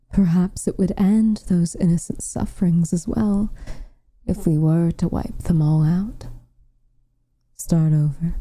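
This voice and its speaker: A woman plays a protective, proud witch with a lowish and very dark, soft voice. She speaks slowly and formally, with dramatic weight, and often sinks into a breathy whisper as in an intimate ASMR roleplay. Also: no room sound. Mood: bitter, weary. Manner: formal, measured, dramatic delivery. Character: protective, proud, frustrated witch, tender toward her lover.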